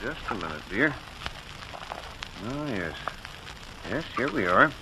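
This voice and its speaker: Mild middle-aged dad in an old 40s radio play, lively up and down voice, pretty noisy recording. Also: male voice, medium pitch, takes it slowly, heavily acted. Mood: musing, mild. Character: mild, curious middle-aged family father, musing aloud. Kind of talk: 1940s radio acting.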